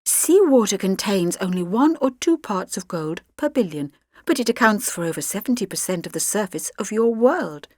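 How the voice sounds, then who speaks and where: A woman voicing an alien-possessed teenage girl, quiet, confiding and menacing, in an audiobook story. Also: mid-range voice, animated, normal speed, dry recording, clean studio sound, close to an everyday voice. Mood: cold boasting.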